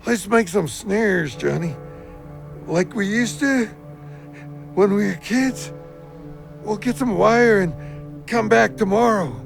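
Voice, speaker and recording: A man's voice: high voice, takes it slowly, vocal fry, long pauses, soft background music, full character voice. A man playing an anxious, devoted friend in an audio drama, worried and hesitant. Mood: reluctant. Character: anxious, devoted friend trailing his idol.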